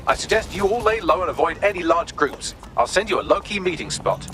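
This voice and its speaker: Snarky, fussy game AI assistant: bright, forceful, lively delivery, articulate sarcasm. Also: male voice, high voice, fairly quick, soft background music. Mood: exasperated. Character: snarky male artificial intelligence assistant, prissy and exasperated. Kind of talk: video game cutscene acting.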